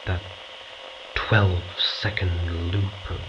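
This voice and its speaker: A man's voice: low voice, little pitch movement, lo-fi sound, background noise. A man playing a weary, strained mission commander in a science fiction audio drama, terse and authoritative. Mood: weary, subdued. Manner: terse, authoritative, halting when reflective.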